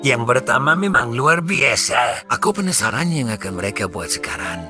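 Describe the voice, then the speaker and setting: A man voicing an expressive, frank adult character in a children's cartoon, with exaggerated, drawn-out delivery. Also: mid-range voice, over music, natural voice. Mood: curious. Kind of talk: animated children's cartoon dub.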